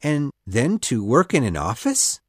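A woman plays a stubborn boy adventurer from a children's storybook, pitched very low. The delivery swings widely up and down, wistful yet set on never growing up. The recording is dry and close. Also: big pitch swings, unhurried, slightly rough, no room sound, full character voice. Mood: anxious questioning. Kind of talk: children's audiobook character voice.

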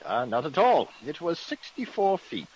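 An actor playing a fussy, sceptical British gentleman in a vintage radio drama, genteel, blustery and exclamatory. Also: on the high side, very animated, normal speed. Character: fussy, excitable, sceptical English gentleman of the manor. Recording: noisy vintage radio recording.

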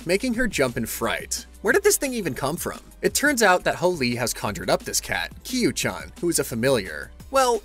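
Male recap narrator, fairly high register, very animated intonation, scripted storytelling with playful sarcasm. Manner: wry, playfully sarcastic asides.

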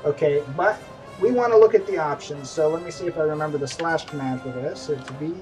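A man's voice: a little high, fairly quick, darkish tone, distant mic. A middle-aged man hosting a casual game stream, chatty and self-deprecating, recorded at home. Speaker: stream host. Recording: voice from away from the mic, faint music behind.

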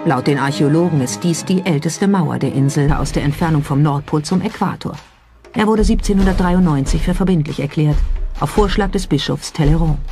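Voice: medium pitch, brisk. A narrator reading a scripted documentary as a studio voice-over. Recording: voice over background music.

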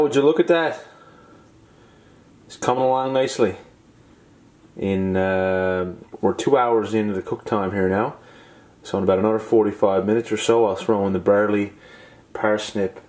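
A man's voice: fairly low pitch, moderate pace, pauses often, darkish tone. A young man, talking casually through his home cooking in a laid-back, folksy way. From Newfoundland and Labrador, Canada. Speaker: home cook presenting a video. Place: small home kitchen, indoors. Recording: roomy kitchen echo, clear sizzling noise.

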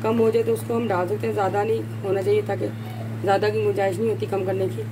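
A woman with a Pakistani Urdu accent, hosting a cooking channel from her home kitchen and explaining each step slowly, often restarting and rephrasing. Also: medium pitch, level tone, background noise. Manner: homely, explains slowly with many restarts and "yani".

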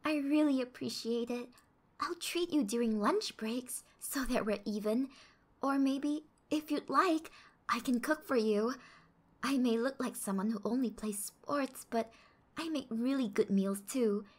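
A woman playing a friendly, sporty schoolgirl confiding in her crush, speaking softly and half-whispering in an ASMR roleplay. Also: a little high, unhurried. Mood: warm, earnest. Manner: soft, half-whispered, casual.

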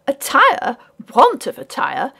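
A prim older lady of good family, played by a woman reading a storybook, pitched high and swooping. She sounds fussy and critical, picking over her words with delicate, scandalised propriety. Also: wildly swooping pitch, takes it slowly, gravelly, sharp tone, full character voice. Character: prim, fussy older genteel English mother. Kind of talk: audiobook character voice.

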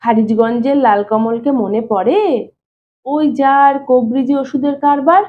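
A woman's voice: high-pitched, unhurried. A woman reading a storybook as a shy, stubborn young bachelor, plain-spoken and evasive in colloquial speech. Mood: wary. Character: shy, stubborn young bachelor brother-in-law, reluctant to marry. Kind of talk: dramatized audiobook reading. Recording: thin phone-like narrow-band sound.

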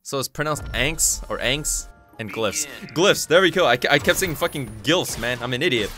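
Korean-native male gamer, mid pitch, excitable, swears a lot, faint game music behind. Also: normal speed. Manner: excitable, swears freely, talks to himself. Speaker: gaming channel host. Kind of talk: live gameplay commentary.

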